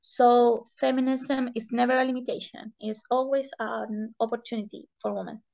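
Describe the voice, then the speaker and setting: A woman from Chile with a Chilean Spanish accent, giving interview answers in halting non-native English and searching for words. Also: a little high, fairly even intonation, creaky, a little mellow. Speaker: interview guest, economist and feminist.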